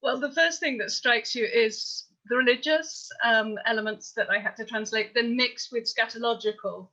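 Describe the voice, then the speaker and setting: A woman with a bright, fairly high voice gives explanatory answers at a panel discussion. She speaks as a translator describing her process. The recording is a little dull and lo-fi. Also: normal speed. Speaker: translator at a post-show Q&A. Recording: dull, lo-fi audio.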